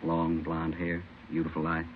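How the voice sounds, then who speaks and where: An actor playing a businesslike police captain, brisk and matter-of-fact, in a 1950s radio drama. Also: male voice, rumbling low, monotone. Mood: grim. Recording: old radio recording, narrow band, noisy.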